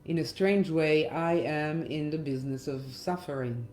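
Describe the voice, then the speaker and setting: A middle-aged woman gives a reflective guided meditation talk in a gentle, measured manner with pauses. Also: sits low. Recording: roomy echo, faint music underneath. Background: faint music.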